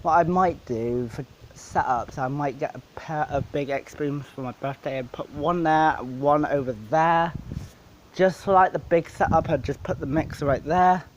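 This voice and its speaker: A man giving a casual, unscripted equipment walkthrough as a DJ, rambling and halting, with informal, blunt wording. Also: fairly high pitch, lo-fi sound, slight background noise. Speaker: DJ presenting his PA setup.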